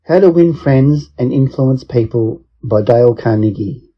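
A man reading a self-help book aloud as an audiobook, in measured phrase-by-phrase narration. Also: medium pitch, husky. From Australia. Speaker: audiobook reader. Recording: dull lo-fi recording.

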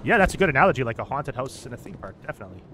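A man's voice: medium pitch, sing-song, very fast, sharp tone, slight background noise. Chatty man streaming a game. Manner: chatty, reacting to the game and to chat. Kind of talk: casual gameplay commentary. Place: home streaming room. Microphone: headset.

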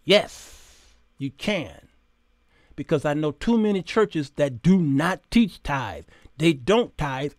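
High-pitched, emphatic American man hosting. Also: no echo, clean studio sound. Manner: animated, preacherly, emphatic, with rhetorical questions and acted-out dialogue. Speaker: talk show host. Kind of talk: informal opinionated monologue to camera. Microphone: close condenser microphone on boom arm.

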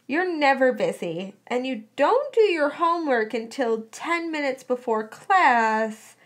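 A woman's voice: high-pitched, sing-song, measured pace, full character voice. A woman voicing a bossy, nosy teenage schoolgirl in a story, insistent and exasperated with her best friend. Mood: suspicious, insistent.

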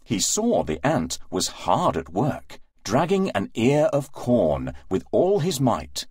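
A man's voice: mid-range voice, huge pitch swings, slow, a little mellow, husky, dry recording, clean studio sound. A man narrating a rhyming children's story as a scripted storybook reading.

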